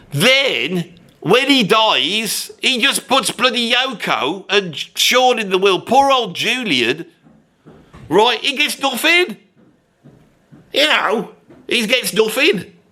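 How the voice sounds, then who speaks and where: A man's voice: sits high, sing-song, slow, long pauses, pushed and bright, full character voice. A man voicing a blunt, opinionated bloke with a Birmingham accent, ranting and swearing in a comic monologue.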